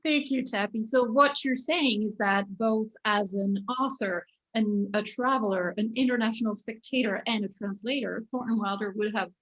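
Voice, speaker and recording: A woman moderating a panel discussion who asks her questions in a measured, articulate way. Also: medium pitch, a bit bright, highs cut off. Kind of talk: interview questions.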